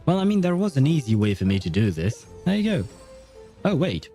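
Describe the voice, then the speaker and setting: Mellow-voiced young male game streamer. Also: mid-range voice, sing-song, brisk, faint music behind. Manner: chatty, laughing, swearing. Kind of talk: casual gameplay commentary.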